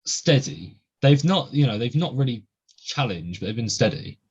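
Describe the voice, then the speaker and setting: A man's voice: medium pitch, big pitch swings, moderate pace, a little mellow, husky. Young guy hosting a chat podcast, lots of ums, kinda muffled lo-fi audio. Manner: chatty, many ums and uhs. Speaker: podcast host.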